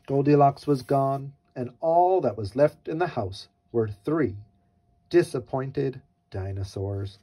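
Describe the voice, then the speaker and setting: A man's voice: medium pitch, animated, slow pace, mellow. A man reading a children's story aloud with wry, theatrical mock innocence.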